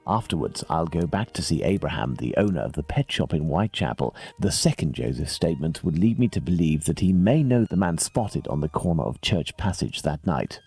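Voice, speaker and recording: A self-assured English gentleman detective in a video game. His voice is very low but moves with lively intonation, formal and deliberate as he reasons, with music underneath. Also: slightly rough, no room sound, exaggerated voice. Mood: musing, focused. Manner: deliberate, formal, deductive phrasing. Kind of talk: scripted video game acting.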